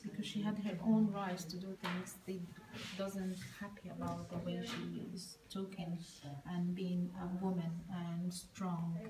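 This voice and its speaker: A young woman with an Arabic accent, English her second language, explaining her artwork in halting speech full of pauses and fillers, recorded on a phone in an art studio. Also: fairly low pitch, level tone, roomy sound, far from the mic, noisy background. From Egypt. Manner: hesitant, searching for words, many fillers. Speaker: art student. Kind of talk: talking through her artwork. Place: art studio classroom, indoors.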